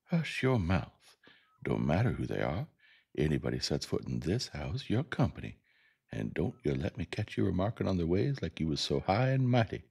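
A man's voice: a bass voice, gravelly, darkish tone, breathy edge, full character voice. A man voicing a stern Southern housekeeper woman in an audiobook story, scolding in a bossy, folksy way with a Southern US accent.

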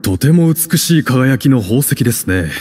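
An actor playing a middle-aged gentleman, a club chairman in an anime game, earnest, courteous and formal in his speech. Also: mid-range voice, lively intonation, moderate pace, a little hiss or hum, lightly acted. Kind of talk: Japanese anime game voice acting. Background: music.

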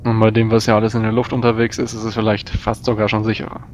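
A man's voice: fairly low pitch, completely flat delivery, quick pace, a little hiss or hum. A man speaking in character as a player in a tabletop role-playing game, with considered wording. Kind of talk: in-character discussion.

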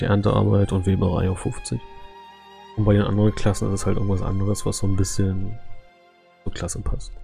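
A man's voice: lowish voice, creaky, warm tone. A man giving casual, laid-back live gameplay commentary as a streamer, in colloquial language. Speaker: game streamer.

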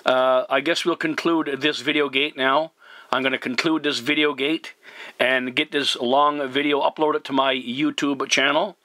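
A man talking as a CB radio operator, courteous and formal, deliberately repeating himself in radio procedure style. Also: mid-range voice, steady tone, pushed and bright, no room sound. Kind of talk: radio contact talk, spelling in phonetic alphabet.

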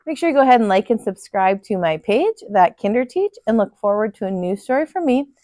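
A woman in her thirties, a kindergarten teacher, reading a children's picture book aloud at home with expressive voices. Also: medium pitch, a little fast, no room sound, studio quality. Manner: expressive, lively character voices. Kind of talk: children's storybook read-aloud. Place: living room at home.

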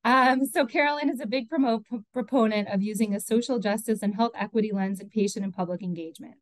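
A woman moderator with a warm, welcoming and slightly halting manner, introducing a speaker on a video call. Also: fairly high pitch, no echo. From Canada.

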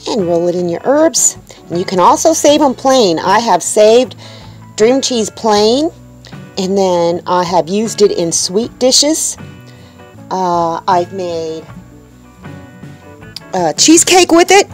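A woman's voice: mid-range voice, expressive, slow, long pauses, faint music behind. A woman making a homestead vlog, chatting casually to her viewers as she shows a cooking step by step. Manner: chatty, upbeat, talks to viewers as folks. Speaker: homestead vlogger. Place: indoors in a small tiny-house kitchen.

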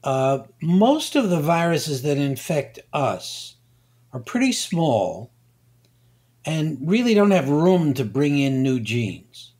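An older man, a scientist guest, explaining slowly and deliberately with many hesitations. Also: on the high side, big pitch swings, darkish tone. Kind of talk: conversational science interview.

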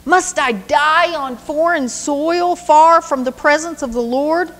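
A woman's voice: fairly high pitch, expressive, measured pace, big-room sound. A woman doing David, a mocking young warrior. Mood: pleading. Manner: mocking, sing-song taunts. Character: young male warrior taunting his foes.

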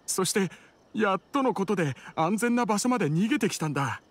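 A man's voice: high voice, expressive, a little fast. A man playing a frightened, cautious villager in an anime video game, explaining things earnestly. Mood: shaken, relieved. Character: frightened young male villager, robbed and cautious. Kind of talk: Japanese anime game voice acting. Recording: background music under voice.

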